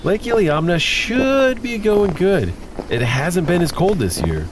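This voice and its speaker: A chiding grown son. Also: male voice, high-pitched, big pitch swings, measured pace, background noise. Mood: playful. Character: caring grown son, playful and a bit worried.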